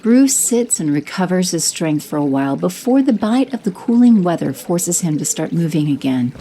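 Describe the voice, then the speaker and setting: Woman narrator, scripted, steady, lowish voice, mellow. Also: moderate pace, slight background noise, rough recording. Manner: steady, descriptive.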